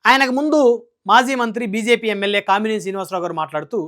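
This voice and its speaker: A man delivers political news commentary at a rapid clip. He is emphatic and scolding. He often presses his point with rhetorical questions. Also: high-pitched, bright, forceful tone.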